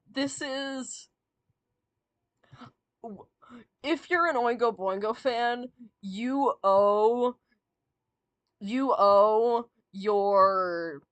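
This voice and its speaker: A woman chats her way through a song ranking, drawn out and full of fillers, giggles and restarts. Her voice has gone a little raspy and sore from long talking. She speaks slowly, and the sound is dry and close. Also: fairly high pitch, no room sound. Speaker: VTuber streamer. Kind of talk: casual fan commentary, ranking songs.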